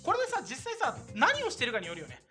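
A man co-hosting a radio show, chatting casually and teasingly with his partners. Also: fast. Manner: animated, teasing. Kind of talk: casual banter with occasional announcements. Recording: low-quality livestream audio over background music. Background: background music.

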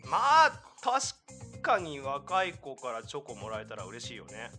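A man hosting a radio show with casual, joking banter, reading listener mail aloud. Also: unhurried. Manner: animated, joking. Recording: low-quality livestream audio over background music. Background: background music.

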